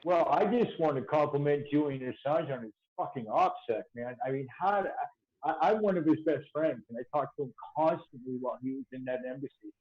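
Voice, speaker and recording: Hoarse, profane man on a muffled livestream. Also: a little high, animated, fairly quick, dry recording. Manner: rambling, profane, slangy. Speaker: activist journalist on a livestream panel. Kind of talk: casual impassioned panel chat. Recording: dull lo-fi stream audio.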